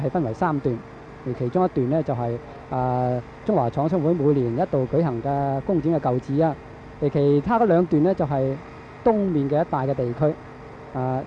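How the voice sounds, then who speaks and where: A man with a Hong Kong accent giving live radio news commentary in a halting way, with frequent drawn-out fillers. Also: mid-range voice. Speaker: radio reporter. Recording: dull, noisy archival radio broadcast.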